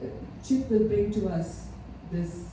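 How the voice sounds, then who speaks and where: A woman from India giving an earnest, measured tribute speech, pausing often with fillers. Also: medium pitch, warm tone. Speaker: ceremony speaker. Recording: echoey hall, mic far off, faint noise.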